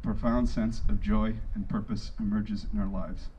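A man in his thirties earnestly reading a prepared speech in a measured way into a microphone on an outdoor stage. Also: on the low side, fairly even intonation, warm tone. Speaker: speaker reading a tribute speech. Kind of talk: prepared speech read aloud to outdoor crowd. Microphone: handheld microphone through PA. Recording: dull PA sound with light outdoor noise.

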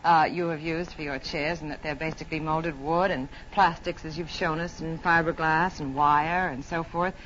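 Poised American woman, formal TV interviewer, 1950s broadcast sound with dull tone and clear background noise. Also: deep voice, fairly even intonation, normal speed, few pauses, fairly bright tone. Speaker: television host. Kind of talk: polished television interview. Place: television studio. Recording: muffled, noisy 1950s television broadcast.

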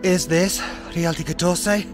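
A chatty man in his thirties with a fairly high voice films himself outdoors at arm's length, gushing about the sights. Music plays clearly beneath his talk, with street traffic around him. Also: unhurried. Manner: chatty, excitable, playful asides. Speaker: travel vlogger. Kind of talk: casual travel vlog, talking to camera. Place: outdoors on sunny town streets. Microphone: handheld camera at arm's length.

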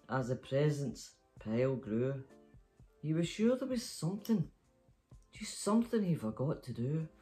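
Middle-aged woman, children's storytime host, unhurried pace, picture-book reading, music behind. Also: deep voice, dark tone. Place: small bedroom, sitting up in bed.

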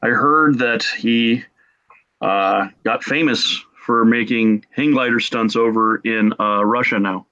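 A middle-aged man telling casual stories as a podcast guest, in an excitable, jokey manner. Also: mid-range voice, slow pace. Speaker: podcast guest, game artist. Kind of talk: casual animated storytelling. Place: small room at home, video call. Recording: muffled lo-fi video call.

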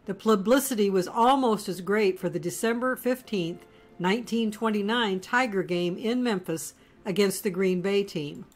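A woman giving scripted documentary narration in a measured, storytelling delivery. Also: medium pitch, faint music behind.

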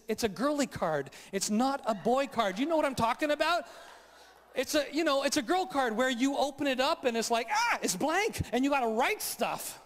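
A man preaching live at a conference, telling a comic story in an animated, playful way with sound effects. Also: very high-pitched. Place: large conference hall, live event. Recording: long hall reverb.

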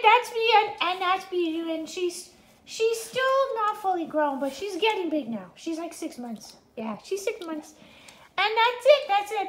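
A cheerful little female dog, a food-loving beggar, chatters away in a very high, childlike sing-song voice, as a woman puts her on. She rambles like a homemade news host, and her voice rings around a roomy home kitchen. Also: fairly bright tone. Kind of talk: pet vlog voiced as the dog.

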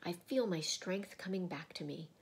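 A great storybook lion, as a woman narrator plays him, majestic and kindly. The delivery is solemn and measured, in a breathy, forceful declamation at a fairly low female pitch. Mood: solemn, triumphant.

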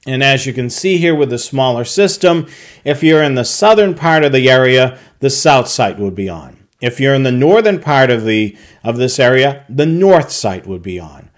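A man's voice: mid-range voice, lively intonation, normal speed. A man narrating a slide tutorial, explaining a technical process step by step with occasional fillers. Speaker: product tutorial presenter.

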